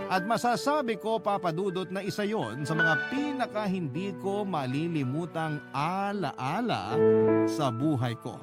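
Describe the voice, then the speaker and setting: A man's voice: on the high side, lightly acted. A man playing a middle-aged, forgiving, devoted father who narrates his life story in an earnest, confiding radio drama style. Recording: loud music bed under voice.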